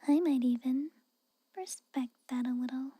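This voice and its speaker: A woman playing a guarded, haughty but secretly caring castle maid in a whispered ASMR roleplay, halting and grudging, close to the microphone. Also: high voice, no room sound. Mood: defensive, grudging. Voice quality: soft, breathy whisper. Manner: hesitant, halting, grudging, cautioning.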